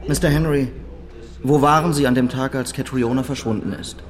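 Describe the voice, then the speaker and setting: An actor playing a formal, methodical police detective in a German radio drama. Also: male voice, medium pitch, fairly even intonation, brisk, a crowd in the background, lightly acted. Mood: formal, inquiring.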